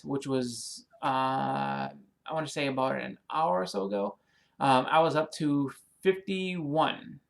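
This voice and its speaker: Brief: Boston-accented man, a touch high-pitched, casual rambling vlogger, thanks subscribers, fillers galore. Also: vocal fry. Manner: rambling, many ums and you-knows, restarts; friendly, apologetic. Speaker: comic and movie YouTuber.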